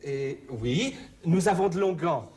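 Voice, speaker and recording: An actor playing a polite, helpful pharmacist with a fussy streak, explaining and correcting clearly in a comedy sketch for learners. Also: mid-range voice, animated, measured pace, a little mellow, natural voice. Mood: instructive.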